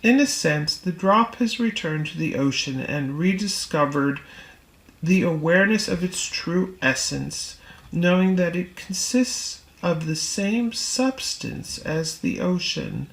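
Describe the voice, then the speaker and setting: A woman reading a spiritual text aloud in audiobook style, with measured, deliberate phrasing and pauses. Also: fairly low pitch, animated, gravelly, reverberant, low-quality recording. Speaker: reader.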